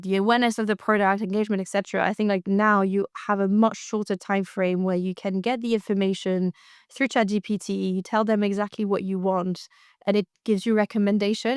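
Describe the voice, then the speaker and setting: A woman's voice: mid-range voice, darkish tone, clean tone, no room sound, studio quality. A woman co-hosting a podcast, casually discussing news in a thoughtful, tentative way that often repeats words. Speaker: podcast co-host.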